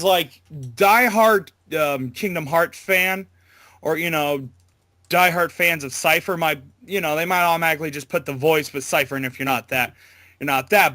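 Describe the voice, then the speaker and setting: A man speaks casually as an interview guest, in a bright and fairly high voice. He is hesitant and informal, rambling as he explains himself. The sound is close and dry, with very little room. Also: no room sound. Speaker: amateur voice actor being interviewed.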